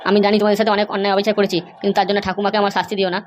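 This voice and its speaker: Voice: mid-range voice, monotone, slight background noise, close to an everyday voice. A performer voicing an anxious young woman in a cartoon comedy, pleading and insistent. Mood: insistent, worried. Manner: pleading, insistent, halting starts. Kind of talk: amateur cartoon comedy dialogue.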